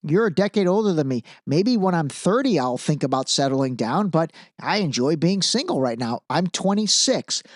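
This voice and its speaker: A man's voice: fairly high pitch, expressive, normal speed, few pauses, dry recording, studio quality. A man hosting a podcast, giving casual, opinionated commentary in an informal, emphatic way, repeating phrases for emphasis. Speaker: podcast host.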